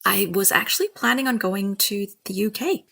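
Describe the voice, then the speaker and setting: A young woman from Sri Lanka, a doctor telling her story in a casual interview, lively and self-mocking. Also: medium pitch, normal speed, creaky, slightly rough. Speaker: doctor, guest.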